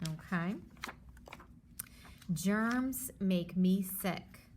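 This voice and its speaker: A woman's voice: mid-range voice, lively intonation, drawn-out words, a little mellow, slight background noise. A woman teacher reading a children's book aloud, stopping to explain things simply and reassure her young listeners.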